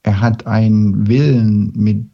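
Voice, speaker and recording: A man's voice: fairly low pitch, flat delivery, slow pace, gravelly. A man giving a spoken lecture, hesitant, with many fillers and restarts. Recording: dull lo-fi recording.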